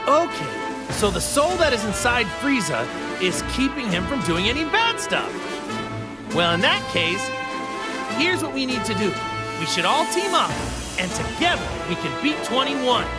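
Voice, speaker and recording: A man's voice: very high voice, big pitch swings, a little slow, exaggerated voice. A man voicing a cheerful, naive martial arts hero in an anime video game, boyish and casual. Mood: upbeat, easygoing. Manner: casual, simple, eager. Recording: music behind the voice.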